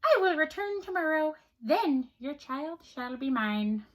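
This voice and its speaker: A woman's voice: high-pitched, big pitch swings, a little slow, fairly bright tone, a little hiss or hum, pitched above the performer's own voice, heavily acted. A woman voicing a cackling, taunting little old man from a storybook fairy tale. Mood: gloating.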